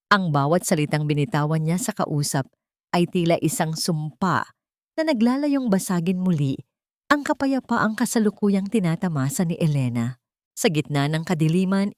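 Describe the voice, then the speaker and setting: Filipino female storyteller for scripted drama narration, slightly low voice, dry studio-like sound. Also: a little mellow, no room sound.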